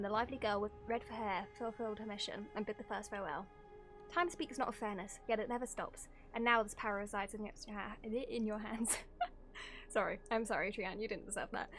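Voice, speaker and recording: Woman game streamer, higher-pitched, bright tone, giggly, casual reaction chat, faint background music. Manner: giggly, playful, easily sidetracked. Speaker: livestreamer. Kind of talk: casual game reaction stream, chatting and reading story text aloud.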